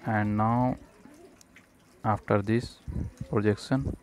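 A man presenting a step-by-step programming tutorial, speaking haltingly in short fragments. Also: on the low side, steady tone, a little mellow. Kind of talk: step-by-step screencast tutorial narration.

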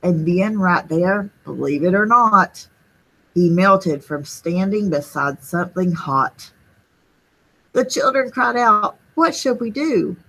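Woman doing a kids read-aloud, slow n husky, explains stuff as she goes. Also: fairly low pitch, lively intonation, bright, forceful tone, poor audio. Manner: explains the story in simple asides to children. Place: small indoor room.

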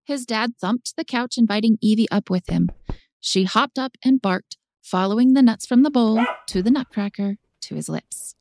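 A woman's voice: on the high side, normal speed, a little mellow, no echo. A woman narrating a story for an audiobook in a scripted style.

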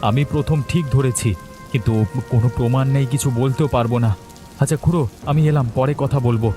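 A man's voice: mid-range voice, quick pace, music in the background, natural voice. A man playing an upright, worried village shrimp farmer in a Bengali cartoon, earnest and urgent in manner. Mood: suspicious, urgent.

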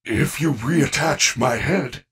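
A man's voice: mid-range voice, slow, fairly bright tone, breathy edge, far from the mic, exaggerated voice. A man voicing a friendly, caring animatronic bear in a video game, speaking in formal, precise, earnest sentences. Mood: calm, helpful. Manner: formal, precise wording without contractions, earnest. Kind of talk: video game character voice acting.

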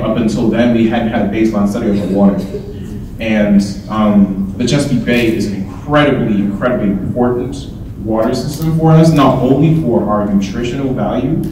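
A young man gives an earnest talk to a conference audience, explaining his group's work with the odd um. His lowish, mellow voice comes through a handheld mic and rings around a big hall. The camera is well back from him, and faint room ambience hangs behind. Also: a little hiss or hum. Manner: earnest, explanatory, with frequent ums. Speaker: student speaker. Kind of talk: conference presentation. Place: large indoor hall with projector screen. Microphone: handheld mic, filmed from far. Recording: roomy hall echo, distant camera.

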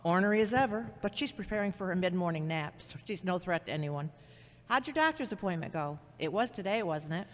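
An actor playing a caring but frank older sister who probes and admonishes, in an amateur audio drama. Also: female voice, fairly low pitch, animated, fairly quick, natural voice. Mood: probing, teasing. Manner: probing, admonishing. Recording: reverberant hall-like sound.

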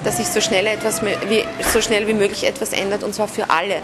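A woman's voice: medium pitch, level tone, fast talker. A woman in her thirties, an activist, speaking earnestly and with determination in an interview. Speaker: activist, house organizer. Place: crowded indoor hall. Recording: music behind. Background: music.

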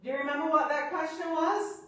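A woman's voice: high-pitched, a little fast, fairly bright tone. A middle-aged woman priest with a Barbadian accent preaches from a pulpit, chatty and joking with the congregation between points. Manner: chatty, laughing asides, teasing the congregation. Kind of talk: sermon with congregation banter. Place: church sanctuary at a pulpit. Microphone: far camera. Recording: echoey church from a distance.

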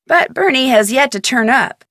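A young woman detective narrates a mystery game with composure and dry wit. Her delivery is measured and storylike, recorded close and dry. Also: high voice, lively intonation, fairly bright tone, dry recording. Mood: composed, wry. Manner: clear measured storytelling, dryly wry.

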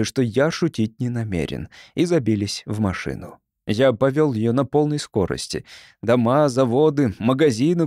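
A man narrating fiction as an audiobook reader, in an expressive storytelling style. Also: medium pitch, no echo, studio quality.

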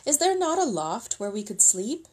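A man's voice: extremely high pitch, sing-song, moderate pace, pitched above the performer's own voice, exaggerated voice. A woman voicing crafty, cheerful soldiers in a storybook, coaxing and exclaiming with mock wistfulness.

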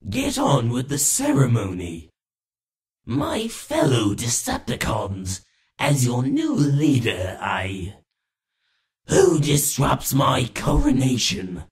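A man's voice: medium pitch, wildly swooping pitch, slow, exaggerated voice. A man voicing a vain, pompous robot usurper in a theatrical cartoon style. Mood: self-important. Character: vain, pompous male robot usurper crowning himself leader. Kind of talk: fan voice reel, cartoon robot acting.

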